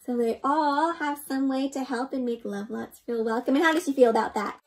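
A young woman reading a storybook aloud to children in an expressive, interactive way, often putting questions to the listener. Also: sits high, a little fast. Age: young adult. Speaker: children's read-aloud host. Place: indoor room.

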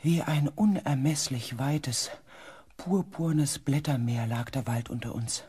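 An actor playing a grave expedition member who narrates in the first person, measured and sometimes hushed, in a sci-fi radio play. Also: male voice, medium pitch, slightly rough. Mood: grave, eerie. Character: grave male expedition member recounting an eerie mission.

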